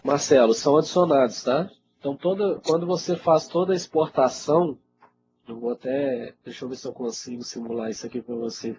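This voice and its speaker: Casting: Brazilian male IT instructor, congested, casual Q&A delivery, medium pitch, muffled noisy webinar audio. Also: a little fast. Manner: informal, conversational, frequently checks in with listeners. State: congested with a cold. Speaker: IT instructor answering webinar questions. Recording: dull lo-fi webinar audio, loud noise.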